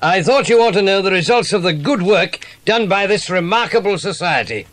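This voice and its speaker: Man playing a high-voiced genial police inspector. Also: expressive, moderate pace, fairly bright tone, dry recording. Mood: genial, pleased. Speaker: police inspector character in a children's audio drama. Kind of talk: dramatised children's audiobook acting.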